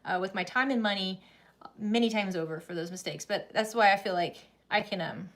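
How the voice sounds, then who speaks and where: A woman's voice: medium pitch, a little fast, a bit bright. A woman in her thirties hosting a sewing channel, chatting casually with many restarts and frequent laughs. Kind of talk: casual livestream chat.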